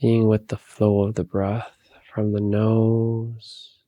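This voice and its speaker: A man leading a guided meditation, giving calm, soothing, unhurried instructions with long pauses. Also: on the low side, dry recording.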